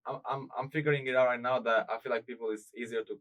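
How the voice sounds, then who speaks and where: A young man with an Albanian accent who speaks English as a second language, talking casually as a guest in a radio interview, soft-spoken, often trailing off and repeating words. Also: medium pitch, fairly even intonation, rapid-fire, far from the mic, muffled recording. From Greece and Albania. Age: young adult. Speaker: event promoter and DJ guest.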